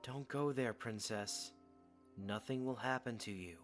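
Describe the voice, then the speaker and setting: A man's voice: mid-range voice, a little slow, fairly bright tone, soft background music, natural voice. A man playing an earnest, protective young warrior in an audio drama, plain-spoken and given to short sentences. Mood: protective, serious.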